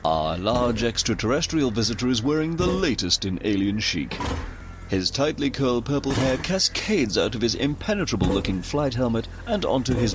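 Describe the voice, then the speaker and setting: Man playing a lively, droll meddling narrator. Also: medium pitch, background noise, lightly acted. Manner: wry, theatrical, ornate phrasing. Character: droll, wry male narrator who meddles in the story. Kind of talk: comedic audio drama narration.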